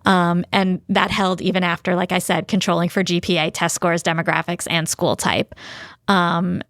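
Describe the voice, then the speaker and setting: Woman, fairly low pitch, podcast co-host, informal explainer, conversational, dry recording. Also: little pitch movement, normal speed, a bit bright, no echo. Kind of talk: casual explanatory podcast discussion.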